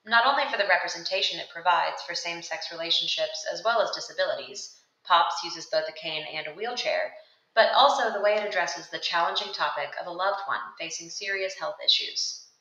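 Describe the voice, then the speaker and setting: Young adult woman, earnest scripted voice-over, lowish and bright, echoey room. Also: a little fast, highs cut off. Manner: steady, earnest read-aloud delivery. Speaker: student presenting book recommendations. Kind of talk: scripted narration of a video ad. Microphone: voice-over over still book pages.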